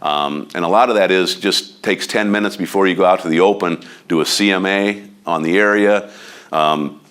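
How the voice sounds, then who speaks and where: A deep-voiced man with a Midwestern American accent talks loudly and bluntly. His manner is animated and a bit overbearing, like a seasoned agent coaching colleagues in an office meeting. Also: normal speed, smooth. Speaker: real estate agent giving a training talk. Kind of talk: informal training talk to a room of agents.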